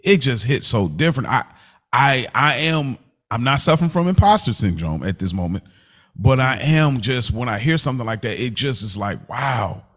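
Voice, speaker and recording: A man's voice: mid-range voice, lively intonation, moderate pace, a bit bright. Male podcaster, mid-range voice, casual slang, emphatic and repetitive delivery. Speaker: podcast co-host. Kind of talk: casual conversational podcast chat.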